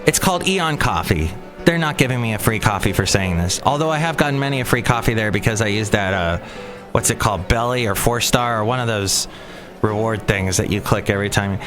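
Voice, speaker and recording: A middle-aged man hosting his own podcast, rambling and chatty, often breaking off mid-sentence. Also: medium pitch. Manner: rambling, chatty, self-interrupting. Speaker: podcast host. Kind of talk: casual solo podcast chat.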